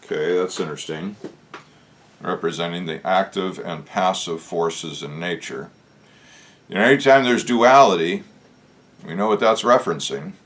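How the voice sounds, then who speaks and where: A man with a hoarse voice, talking in a casual, reflective way as a show host, pausing often with "uh" as he thinks aloud. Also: low-pitched, a bit bright. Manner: musing, unhurried, with frequent "uh" pauses. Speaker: channel host discussing Masonic lore.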